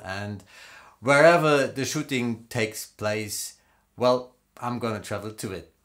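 A German actor in his forties with a German accent, presenting himself slowly and playfully in a studio demo reel. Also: mid-range voice, slight background noise. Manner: slow, deliberate, playful, with laughs. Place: green screen studio.

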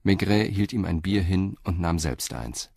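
Very deep-voiced male narrator. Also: animated, a little slow, gravelly, a little mellow, husky, no echo, clean studio sound. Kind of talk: scripted narration of a radio crime drama.

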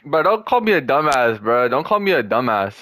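A man's voice: medium pitch, sing-song, a little fast, fairly bright tone, no echo. A young man in casual gaming chat with a friend, joking and trash-talking and often repeating his words. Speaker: gamer.

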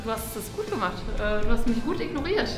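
A woman's voice: fairly high pitch, big pitch swings, fast. A woman around her thirties talking casually as host of an online video. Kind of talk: casual vlog chat. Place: indoor stairwell and office rooms. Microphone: vlog camera at arm's length. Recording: echoey room, music behind. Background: music.

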